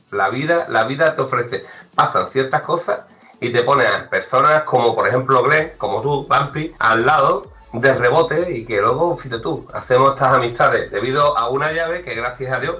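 Bright, lively man telling a story. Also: mid-range voice. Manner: animated storyteller who acts out dialogue. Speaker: guest. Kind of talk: casual anecdote. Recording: slightly dull lo-fi sound.

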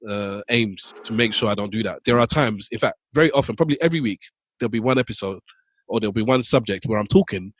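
A man's voice: on the low side, fast. A man co-hosting a podcast, chatting casually and often repeating words as he restarts his sentences. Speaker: podcast co-host. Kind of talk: casual chat.

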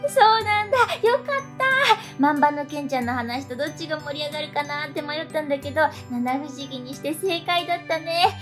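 A woman's voice: high voice, animated, a little fast, hardly any pauses, faint music behind. A woman playing a friendly, quirky teenage schoolgirl in a radio drama, telling things with guileless eagerness. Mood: cheerful. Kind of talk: conversational radio drama acting.

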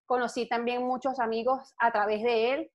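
A young woman from Venezuela with a Venezuelan accent, a guest telling stories in an outgoing, animated way and laughing easily. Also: fairly high pitch, moderate pace. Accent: Venezuelan Spanish. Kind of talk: casual interview chat. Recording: dull lo-fi sound.

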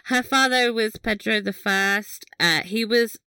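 A woman's voice: fairly high pitch, unhurried, bright, forceful tone, smooth, dry recording. A woman co-hosting a podcast, chatting casually about a TV episode, laughing often and swearing offhand. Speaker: podcast co-host. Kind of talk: casual recap chat.

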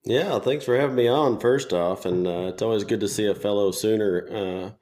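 A man in his forties from Western Oklahoma, a guest on a podcast, sounding raspy from a cold and talking in a relaxed, plainspoken way. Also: on the low side, moderate pace. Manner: relaxed, plainspoken, uses fillers like uh and you know. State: has a cold. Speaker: guest, physician assistant. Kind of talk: casual interview chat.

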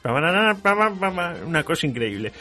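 A man's voice: fairly high pitch, very animated, brisk, pushed and bright. A man with a Uruguayan accent hosting humorous news commentary in an ironic, rambling, casual way. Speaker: radio show host.

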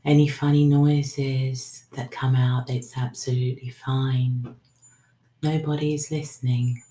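A woman leading a guided breathing meditation, giving soothing, unhurried instructions that sometimes trail into a whisper. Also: very deep voice, level tone, dark tone. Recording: roomy, echoing sound.

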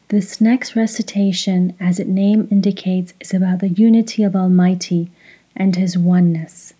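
Slow, very mellow breathy woman. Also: medium pitch, rough recording. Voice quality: soft, breathy. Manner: measured, instructional, pausing between short phrases. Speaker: guided meditation instructor. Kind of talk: slow guided meditation with recitation.

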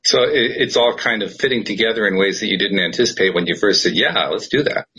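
A man's voice: fairly low pitch, fast, sharp tone, breathy edge, poor audio. A man hosting a podcast in casual chat, chatty and laughing often. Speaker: podcast host.

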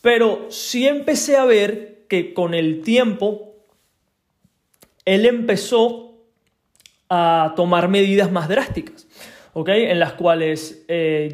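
A young man with a Venezuelan accent hosting a solo podcast, talking conversationally with frequent tag questions and pauses. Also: high voice. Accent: Venezuelan Spanish. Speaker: podcast host.

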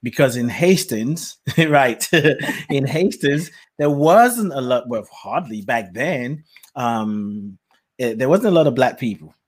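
A man's voice: mid-range voice, expressive, slow pace. A man who grew up in Nigeria tells his life story as a guest, reflective and laughing often. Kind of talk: personal storytelling in conversation.

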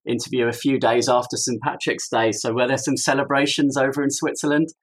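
A man hosting a podcast, introducing his guest with an enthusiastic, lively delivery before asking interview questions. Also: mid-range voice, fairly quick. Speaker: podcast host. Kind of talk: upbeat scripted intro then interview questions.

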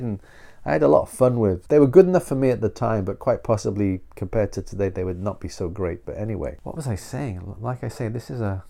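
A man's voice: fairly low pitch, vocal fry, mellow. A middle-aged man hosting a solo podcast, nasal from a cold, rambling and self-deprecating with frequent restarts. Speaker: podcast host.

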